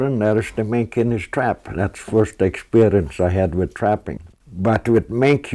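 An older Norwegian man shares oral history in a fairly low-pitched voice. His accent is Norwegian, and he tells his memories plainly, as a storyteller being interviewed. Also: darkish tone. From Norway. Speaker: interview guest, retired fisherman and trapper.

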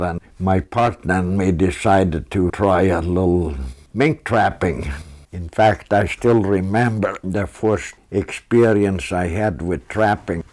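Older Norwegian man with a low voice. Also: darkish tone. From Norway. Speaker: interview guest, retired fisherman and trapper. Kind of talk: oral history reminiscence.

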